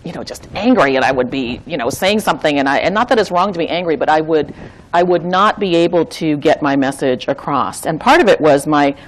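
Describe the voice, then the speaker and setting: Low-voiced woman, facilitator trainer, earnest, thinks out loud with lots of restarts. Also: a little fast, slight background noise, rough recording. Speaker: intergroup dialogue facilitator trainer. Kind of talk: reflective conversational talk.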